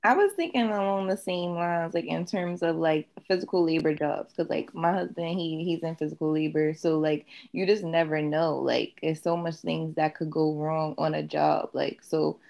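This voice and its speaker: A woman in a casual group chat, relaxed and thoughtful, often repeating words as she thinks. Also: sits low, moderate pace, vocal fry, few pauses.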